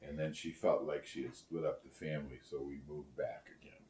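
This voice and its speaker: A man's voice: extremely low pitch, little pitch movement, fairly quick, a little mellow, roomy sound. An older man conducting an oral history interview, speaking reflectively, seated in a living room. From Netherlands and United States.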